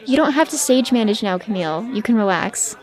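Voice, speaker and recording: A woman's voice: a little high, animated, fairly quick, some chatter behind, natural voice. A woman playing an easygoing, upbeat teammate in audio drama dialogue. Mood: cheerful, reassuring.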